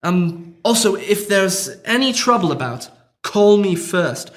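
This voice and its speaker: A solemn, formal tutor with an accent, played by a man, polite and earnest. His voice sits high for a man. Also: sing-song, unhurried.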